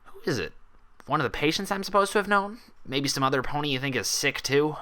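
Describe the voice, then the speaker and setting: A man's voice: on the high side, animated, fast talker, bright, forceful tone, voice pushed up. Storybook young mare patient read by a man: sullen, suspicious, curt, grumbling. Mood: doubtful. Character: sour, guarded young female pony patient, distrustful.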